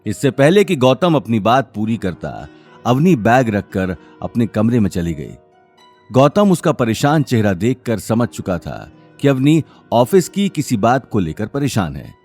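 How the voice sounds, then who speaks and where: A man's voice: mid-range voice, sing-song, measured pace, darkish tone, soft background music. A man narrating an audio drama series as a scripted, dramatic story.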